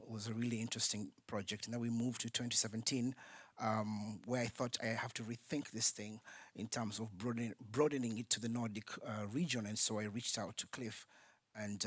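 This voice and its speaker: Man, theatre founder, panel speaker; animated anecdotal delivery, dry conference mic. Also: lowish voice, normal speed, husky, dry recording. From Kenya. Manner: lively storytelling with frequent ums. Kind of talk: panel talk, personal story. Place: conference centre.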